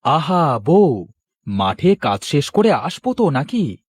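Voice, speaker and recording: Animated, defiant young-hearted farmer, acted by a man. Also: a little high, slow pace, husky, no room sound, clean studio sound, exaggerated voice. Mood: wary. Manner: emphatic, animated. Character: defiant, stubborn male sugarcane farmer, honest villager. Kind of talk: children's cartoon story acting.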